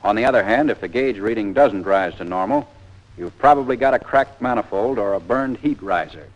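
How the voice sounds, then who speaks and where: A man's voice: lowish voice, a little fast. An American man narrating a 1940s instructional training film in a plain-spoken, methodical, step-by-step way. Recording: dull, lo-fi 1940s film soundtrack.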